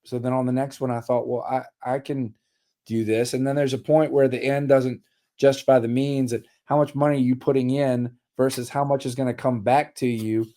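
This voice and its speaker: A man's voice: medium pitch, little pitch movement, moderate pace, mellow. A man in his forties, a musician guest on a radio interview, talking in a candid, wry, matter-of-fact way, his voice slightly worn. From Richmond, Virginia. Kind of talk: casual interview chat.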